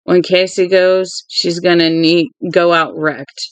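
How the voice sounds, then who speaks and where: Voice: medium pitch, level tone, slow pace, clean tone, no room sound, natural voice. A reader voicing a cocky, crude young older brother from a novel, slangy, blunt and teasing. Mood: cocky, scheming.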